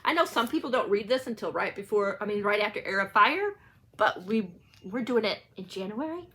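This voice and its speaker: A woman's voice: mid-range voice, animated, a little fast, a bit bright, slight background noise. A woman talking casually to viewers in a rambling, informal book vlog, often getting sidetracked. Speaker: book channel vlogger.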